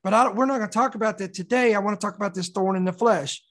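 Slightly hoarse preacher, bit raspy, walking thru a bible passage, leans on key words and repeats phrases. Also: mid-range voice, racing. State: mildly hoarse from sore throat. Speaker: preacher teaching from scripture. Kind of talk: expository sermon with scripture reading.

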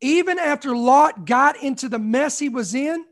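A man's voice: extremely high pitch, moderate pace. A man in his forties preaching a sermon, emphatic, with repeated phrases and call-outs to the congregation. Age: 40s. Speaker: pastor.

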